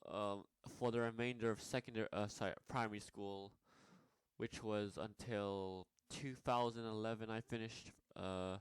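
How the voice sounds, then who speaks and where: A man's voice: on the low side, steady tone, moderate pace, clear, resonant tone, no echo. A young man from Nepal telling his life story in a rambling vlog, with many fillers and restarts. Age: young adult. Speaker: vlogger.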